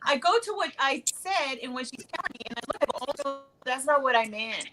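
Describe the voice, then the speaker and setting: A woman's voice: high voice, animated, moderate pace, over loud music, rough recording. A woman hosting a craft show over a video call, bubbly and teasing, often breaking into laughter. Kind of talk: casual group chat.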